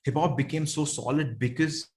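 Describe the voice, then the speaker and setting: A man's voice: fairly high pitch, fairly even intonation, moderate pace, a little mellow, a little hiss or hum. A man with a South Indian accent speaking as an interview guest, reflective and conversational, often checking in with "right" or "you know". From Bengaluru, India.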